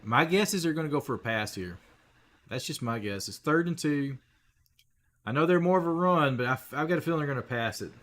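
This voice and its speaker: A man hosting a casual live play-by-play stream, his voice rough, clearing his throat, talking straight to viewers and rising on big plays. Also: fairly high pitch, a little fast. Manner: chatty, talks straight to viewers, rises excitedly on big plays. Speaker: livestream play-by-play host.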